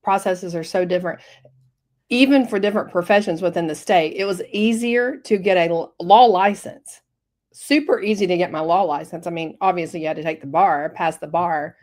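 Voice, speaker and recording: A woman's voice: fairly low pitch, moderate pace, a little mellow. Scratchy-voiced woman recovering from bronchitis. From Tennessee. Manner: hesitant, many fillers. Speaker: guest, health coach. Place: home office. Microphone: video call.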